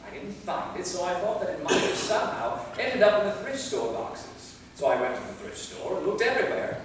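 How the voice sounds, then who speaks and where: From far off in a reverberant hall, a man acts out an exasperated centuries-old male vampire. His put-upon delivery swings widely in pitch as he reads a comedy on stage. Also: sing-song, fairly quick. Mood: earnest, flustered. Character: exasperated immortal male vampire from the Middle Ages. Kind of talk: staged reading of a comedy play. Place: theater, recorded from a distance. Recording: echoey hall, far mic, faint noise.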